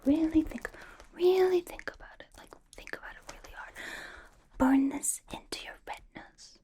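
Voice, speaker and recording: A woman's voice: high-pitched, moderate pace, dark tone, natural voice. A woman playing a quirky, playfully suspicious young superheroine in an ASMR roleplay, coaxing and questioning in an airy whisper. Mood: mock-stern questioning. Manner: coaxing, playful mock-interrogation, repeats phrases. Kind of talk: ASMR roleplay, personal attention.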